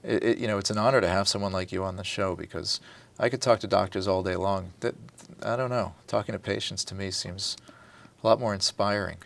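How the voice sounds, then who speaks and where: A man's voice: on the low side, fairly even intonation, a little fast, vocal fry, fairly bright tone. A man from New Jersey hosting a TV health interview as a doctor, talking in a chatty, friendly way with frequent restarts. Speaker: doctor and talk show host.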